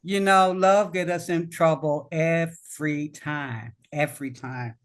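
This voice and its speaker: A woman from Indiana hosting a podcast interview, reading a scripted introduction in a measured, welcoming way. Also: sits low, fairly even intonation. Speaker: podcast host.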